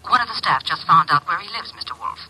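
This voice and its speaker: An actress playing a polite, flustered receptionist in an old radio drama, heard as over a telephone. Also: female voice, fairly low pitch, expressive, fast, sharp tone, slightly rough, exaggerated voice, rough recording. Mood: polite, brisk. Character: polite, flustered sanitarium receptionist, a young woman. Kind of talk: 1950s radio drama acting.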